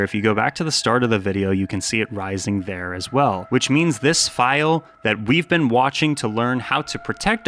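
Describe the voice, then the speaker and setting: Lower-voiced man narrating over ambient noise. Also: a bit bright. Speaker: video essayist and narrator. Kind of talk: scripted analysis narration with casual asides.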